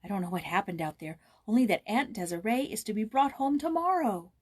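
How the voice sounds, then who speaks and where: A woman voicing an excited young boy who is bursting with happy news, in a children's storybook reading. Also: medium pitch, fairly quick, breathy edge. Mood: eager.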